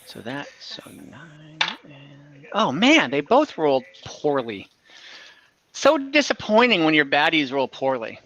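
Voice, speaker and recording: A man's voice: a little high, huge pitch swings, slow pace, pauses often, background noise. A man running an online tabletop game as game master, talking in a casual, informal way.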